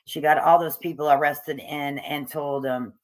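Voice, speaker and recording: A woman's voice: low-pitched, steady tone, moderate pace, rough recording. A woman giving casual, opinionated commentary on video clips, with frequent hesitations and fillers. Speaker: commentary YouTuber.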